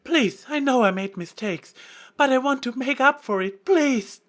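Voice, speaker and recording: A man voicing an anxious, guilty female unicorn in a fantasy story, pleading in a high-strung, faltering way. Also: measured pace, fairly bright tone. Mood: begging.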